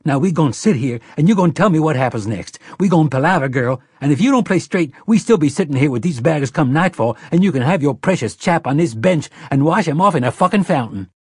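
A man voicing a harsh, crude, fierce woman who speaks in a rough dialect and brooks no argument. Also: deep voice, animated, fast, few pauses, no echo, studio quality, voice pushed up. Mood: menacing.

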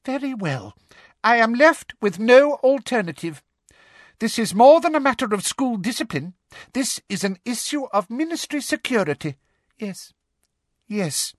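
Man putting on a prim, menacing woman official, high-pitched. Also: big pitch swings, a little slow, no echo, clean studio sound, heavily acted. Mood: agitated, resolute. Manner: breathy, agitated, self-justifying. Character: prim, cruel middle-aged woman official, gleefully menacing.

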